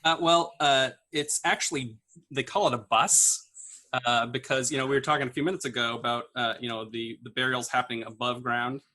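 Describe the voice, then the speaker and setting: A man in his thirties, improvising comic answers haltingly on a video call. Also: mid-range voice, fairly even intonation, bright, forceful tone. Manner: hesitant, halting delivery. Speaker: panel show regular. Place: indoor room, on a video call.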